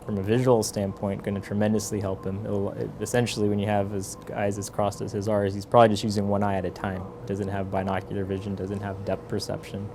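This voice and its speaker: A man's voice: fairly low pitch, monotone, fast talker, hardly any pauses, warm tone, soft background music. A man speaking as a surgeon, explaining things informally, with noise behind him. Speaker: eye surgeon.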